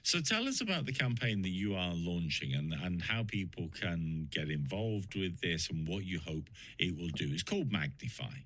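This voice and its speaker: A man's voice: low-pitched, expressive, moderate pace, few pauses, fairly bright tone. A man hosting a radio interview who asks his guest probing, leading questions. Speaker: radio presenter.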